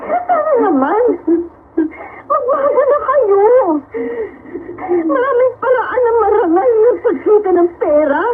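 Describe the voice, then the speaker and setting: A principled young sister in a radio drama, with a very high voice, pleading and close to tears. The sound is thin like a phone line, with a faint hiss. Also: female voice, animated, takes it slowly, pauses often, exaggerated voice. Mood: tearful. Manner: pleading, tearful. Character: young woman, principled, scolding her corrupt brother.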